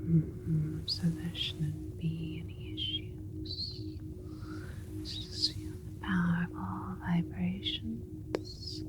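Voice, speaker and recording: Very slow whispering woman as a gentle alien scientist. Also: lowish voice, huge pitch swings, loud music behind the voice, full character voice. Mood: soothing, reassuring. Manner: slow, soothing, deliberate, precise wording. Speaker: ASMR roleplay performer. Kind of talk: ASMR roleplay, whispered.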